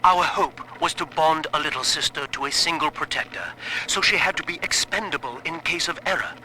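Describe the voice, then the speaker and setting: A man's voice: fairly high pitch, fairly quick, background noise, lightly acted. A man playing a guilt-ridden scientist, speaking formally and articulately in a dramatic recorded diary monologue. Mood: remorseful.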